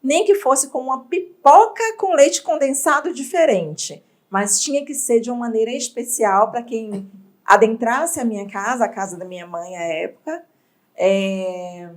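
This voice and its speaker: A woman from Bahia, an entrepreneur telling her story in an interview, reflective and expressive. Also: on the high side, unhurried. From Bahia, Brazil. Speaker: entrepreneur guest.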